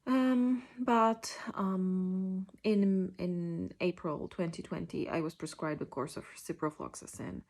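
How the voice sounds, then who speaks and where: Low-voiced Polish woman, hesitant. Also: slow, hardly any pauses, a little mellow. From Poland. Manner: hesitant, many ums and restarts. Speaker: vlogger sharing a personal story. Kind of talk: casual personal monologue.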